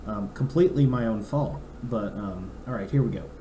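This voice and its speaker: A young man with a raspy throat, a podcast co-host chatting casually and reading a weather forecast aloud with asides. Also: on the low side, moderate pace, mellow. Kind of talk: casual chat. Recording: echoey room, faint noise.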